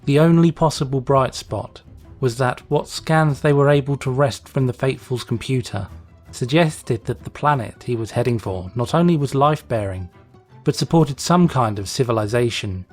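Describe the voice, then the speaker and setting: A man's voice: medium pitch, normal speed, a little mellow. A man narrating a story as a scripted, dramatic reading. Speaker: fan fiction reader, narrator. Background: music.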